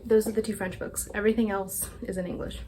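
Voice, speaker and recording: A woman's voice: mid-range voice, level tone, quick pace, dark tone, poor audio. Young female book vlogger, casual and rambling, self-deprecating, faint room noise. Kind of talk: casual chat.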